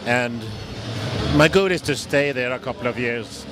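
A man in his forties with a Swedish accent, answering interview questions in halting English with many restarts, speaking into a handheld mic at a busy expo booth. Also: mid-range voice. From Sweden. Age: 40s. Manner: halting, non-native grammar, frequent restarts. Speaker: bodybuilder guest. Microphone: handheld mic held by interviewer. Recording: noisy trade show floor.

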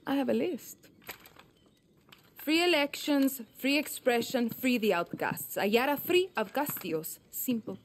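A woman's voice: high voice, expressive, measured pace. Game casting: idealistic young female guerrilla chief, steely, terse, commanding, noise behind. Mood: resolute, persuasive. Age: young adult. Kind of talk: video game cutscene acting.

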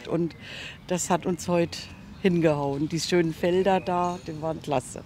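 A woman's voice: fairly low pitch, steady tone, measured pace, darkish tone. Woman visitor, outdoor interview, faint noise. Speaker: garden show visitor interviewed. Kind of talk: unscripted street interview.

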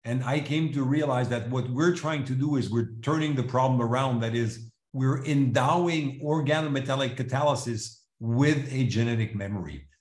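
A man from Switzerland, native in French and English, gives an academic seminar in a measured, explanatory way with some hesitant restarts. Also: medium pitch, darkish tone. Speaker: seminar speaker, chemist. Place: indoors, video call. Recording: roomy echo, live online seminar.

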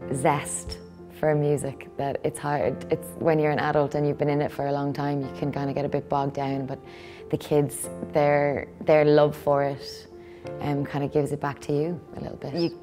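A woman music educator speaking slowly and thoughtfully in an interview. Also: low voice.

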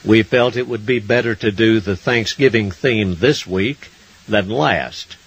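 An older man with an American accent and a husky voice, announcing a scripted folksy stage show as host. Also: lowish voice, unhurried, muffled recording. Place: wooden stage in a barn-style theatre. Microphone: stand microphone.

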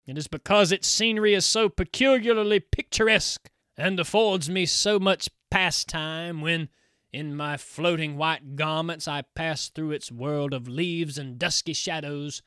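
A man voicing a calm stranger of the forest who speaks in a measured, softer and lower voice. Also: smooth, no room sound, clean studio sound.

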